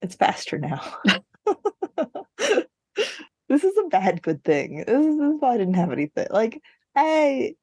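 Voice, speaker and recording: A woman's voice: high voice, sing-song, takes it slowly, pauses often, a little mellow, husky, no room sound, clean studio sound. Lady cohosting a podcast, chatty and jokey, wry about herself, no room sound at all. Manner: wry, self-mocking, jokey. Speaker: podcast co-host. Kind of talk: casual podcast chat.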